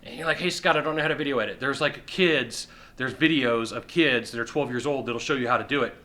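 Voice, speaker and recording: A middle-aged man giving sales advice straight to the camera from a sofa indoors, emphatic and repetitive, with quick acted-out asides. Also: mid-range voice, pushed and bright. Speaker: sales coach. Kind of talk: direct-to-camera advice talk. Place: indoor living room on a sofa.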